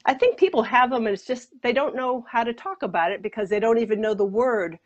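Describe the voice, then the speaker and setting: A woman's voice: fairly high pitch, fast talker. An older American woman, a rug maker and author, telling stories in a live video interview over earphones. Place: home studio in front of a colorful rug. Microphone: earphone mic on a video call. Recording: dull lo-fi video call audio.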